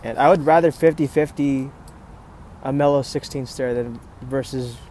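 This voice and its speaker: A man's voice: mid-range voice, normal speed, warm tone. A man chatting casually and matter-of-factly as a skateboarding vlogger, outdoors on an earphone microphone. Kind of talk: casual rambling vlog chat. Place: outdoors at an urban skate spot. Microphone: earphone microphone with a phone. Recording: noisy outdoor phone and earpiece audio.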